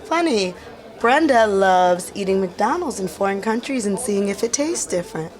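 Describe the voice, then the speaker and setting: A playful, flirty woman played by an actress in an audio sitcom. Her teasing voice is very animated and competes with loud crowd noise. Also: medium pitch, moderate pace, close to an everyday voice. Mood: playful, curious. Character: flirty, playful woman posing as folksy Brenda.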